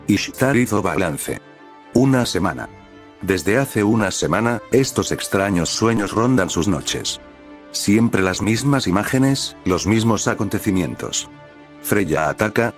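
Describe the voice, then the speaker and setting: A man reading a fanfiction story aloud as scripted narration, measured and delivered in short phrases. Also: fairly low pitch. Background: soft background music.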